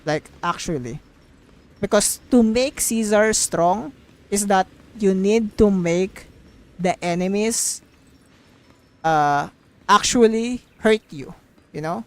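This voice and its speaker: A woman's voice: mid-range voice, slow, long pauses, a little mellow. A woman live streamer giving casual, rambling gaming commentary, emphatic and opinionated, repeating phrases to drive points home.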